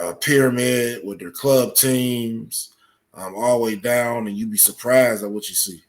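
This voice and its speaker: A man speaking as a podcast guest, explaining at length in a halting, deliberate way with many filled pauses. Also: on the low side. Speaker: podcast guest, soccer coach. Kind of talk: conversational interview, explaining at length.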